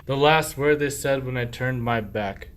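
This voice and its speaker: Sly, weary henpecked husband played by a man, slow theatrical verse delivery, scheming comic rogue for radio play. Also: mid-range voice, noisy background, natural voice. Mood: blustering, conspiratorial. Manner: theatrical, declaiming rhymed verse. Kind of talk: verse radio play acting of a medieval comedy.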